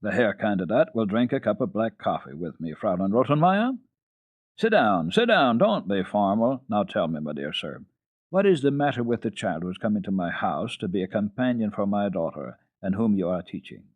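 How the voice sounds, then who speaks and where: A man playing a genial, wry gentleman father with a brisk, courteous manner in a children's storybook reading. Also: on the low side, big pitch swings, no room sound, narrow, tinny audio. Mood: hearty.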